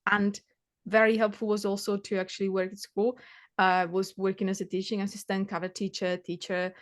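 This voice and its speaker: A woman's voice: mid-range voice, little pitch movement, fairly quick. Chatty Czech-born woman tutor. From Czech Republic. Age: 30s. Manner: chatty, many fillers and restarts, laughs often. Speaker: maths tutor. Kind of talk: casual interview chat.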